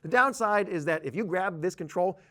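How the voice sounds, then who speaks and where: Give the voice of a male software trainer whose pitch sits a little high for a man. He talks through a tutorial informally, as if chatting with learners. His delivery is emphatic, with a jokey streak. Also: lively intonation, brisk.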